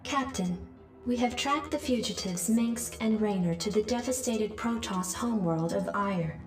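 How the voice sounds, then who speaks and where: A woman's voice: mid-range voice, measured pace, lightly acted. A woman playing a dutiful military adjutant in a video game, giving formal, precise briefings. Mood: impersonal, informative. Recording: distant, echoing, music underneath.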